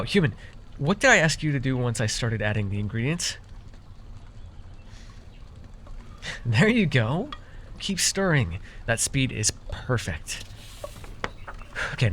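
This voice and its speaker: A man acting as a proud magical herbalist in a fantasy audio roleplay, with a mid-pitched voice. He speaks directly to one listener, theatrical and easily exasperated, with a sheepish stammer creeping in. Some background noise sits under the voice. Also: fairly bright tone. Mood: instructing, wry. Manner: theatrical boasting that slips into sheepish, stammering asides. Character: proud, easily exasperated young magical herbalist who gave away his name.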